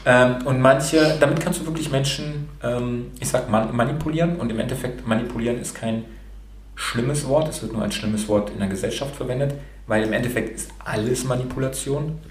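A man's voice: mid-range voice, a little fast, echoey room, a little hiss or hum. A man from Romania, raised bilingual in Germany, with a rolled R, talking frankly and casually as a podcast host, swearing now and then and using many fillers. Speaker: podcast host being interviewed. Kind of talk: casual motivational talk.

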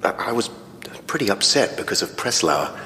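Very deep-voiced, nervous stage actor. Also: male voice, very animated, normal speed, pushed and bright. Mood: uneasy. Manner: hesitant, stammering, uneasy. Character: nervous, grieving male stage actor, morbidly superstitious. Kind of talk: full-cast audio drama acting. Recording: echoey reverberant hall with faint noise.

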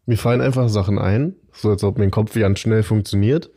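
Berlin guy on a podcast, deep dark voice, blunt and jokey. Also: fairly quick, no echo, clean studio sound. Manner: blunt, loose-mouthed, joking. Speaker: podcast co-host. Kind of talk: casual chat.

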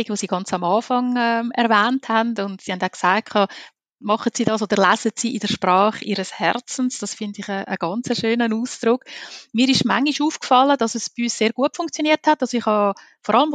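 A woman's voice: on the high side, no room sound. Chatty female host. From Italy. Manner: chatty, personal, tags remarks with questions. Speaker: podcast host. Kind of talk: conversational interview.

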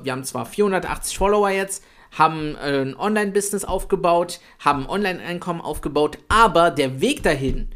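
A man in his late twenties co-hosting a podcast, talking in a chatty, energetic way about building an online business. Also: fairly high pitch. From Italy. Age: 20s. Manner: energetic, chatty, swears lightly. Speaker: podcast co-host. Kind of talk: casual podcast chat.

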